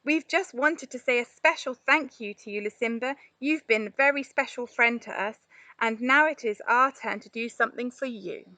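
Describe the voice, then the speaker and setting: A woman voicing an earnest, kindly female elephant in an expressive children's storybook reading. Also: fairly high pitch, moderate pace, dry recording. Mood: approving, grateful. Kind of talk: children's storybook read aloud.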